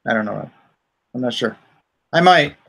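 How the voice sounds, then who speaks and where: A man's voice: medium pitch, expressive, moderate pace, creaky, fairly bright tone. A man hosting a casual livestream chat, rambling and thinking aloud. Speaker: comic artist hosting a livestream.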